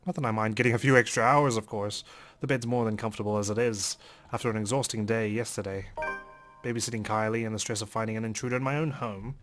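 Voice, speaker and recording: A man reading a visual novel aloud as theatrical first-person narration, in character as an indignant gentleman. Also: fairly low pitch, fairly even intonation, fast, soft background music. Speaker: let's player reading a game aloud.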